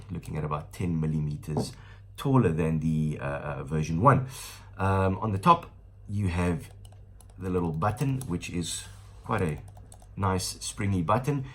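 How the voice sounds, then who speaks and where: A South African man in his thirties with a stuffy nose from the flu, reviewing a product in a casual, rambling way with many fillers. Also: low voice. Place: small room indoors at a table.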